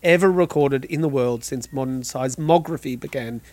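A man's voice: medium pitch, normal speed, a little mellow. Man hosting a casual podcast quiz. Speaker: quiz host. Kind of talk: casual podcast chat, reading quiz questions.

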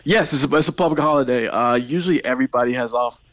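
A man's voice: mid-range voice, fast talker, fairly bright tone, dry recording. A man with an American accent talks easily as a radio guest, laughing often as he goes. His voice sits in a middle range. The sound is dry and a little muffled. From United States. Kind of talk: casual radio interview.

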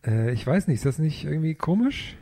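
A man's voice: on the high side, very animated, fairly quick, dark tone, no room sound. A man playing a cheerful, goofy boy detective in a comic radio play. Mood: affectionate, unsure.

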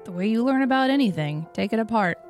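A woman with a Southern US accent reading the part of a cynical, clever young male criminal in a sardonic, deliberate way. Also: on the high side, expressive, a little mellow, faint music behind, lightly acted. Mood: intent, proud.

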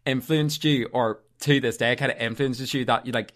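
Rambling young podcast host. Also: male voice, mid-range voice, fairly even intonation, moderate pace, fairly bright tone. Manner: rambling, lots of fillers, laughs easily. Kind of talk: casual podcast interview.

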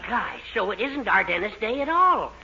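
A man's voice: high voice, sing-song, moderate pace, pushed and bright, a little hiss or hum, heavily acted. A man playing a gullible, henpecked middle-aged husband in a 1940s radio sitcom, with a meek, folksy, befuddled manner. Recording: muffled 1940s radio recording.

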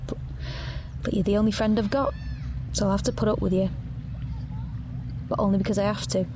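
A woman's voice: medium pitch, little pitch movement, a little fast, background noise, lightly acted. Anxious young woman narrating her dystopian life, acted by a woman. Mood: wry, confiding. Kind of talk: audio drama acting and first-person narration.

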